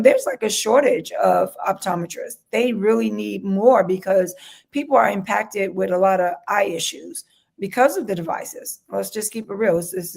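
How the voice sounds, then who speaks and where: A woman's voice: medium pitch, creaky, a little mellow. A woman speaking as an interview guest, earnest and enthusiastic, often checking in with "right?". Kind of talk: casual interview chat.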